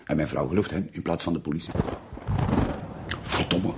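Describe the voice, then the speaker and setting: A disgruntled Flemish father in middle age in a radio play. His voice is low and very rough, and he grumbles with dismissive sarcasm. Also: moderate pace. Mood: sarcastic. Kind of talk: naturalistic radio drama acting.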